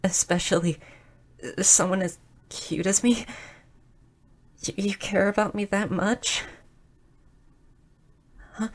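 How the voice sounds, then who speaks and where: A woman's voice: medium pitch, fairly even intonation, takes it slowly, long pauses. Woman playing a shy, stammering young goblin girl. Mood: flustered. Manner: stammering, hesitant, earnest. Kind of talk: audio roleplay acting, intimate romantic. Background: music.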